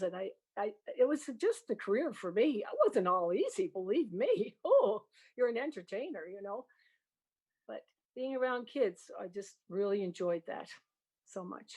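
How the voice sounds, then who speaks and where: A woman's voice: mid-range voice, a little mellow. An older woman, talking in an encouraging, plain-spoken way as an interview guest about her work. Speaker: music teacher, interview guest. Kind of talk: interview conversation.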